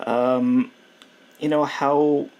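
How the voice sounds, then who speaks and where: A man hosting a casual podcast chat, introducing the topic hesitantly with many pauses and "um"s. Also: medium pitch, level tone, a little mellow, highs cut off. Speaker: podcast host.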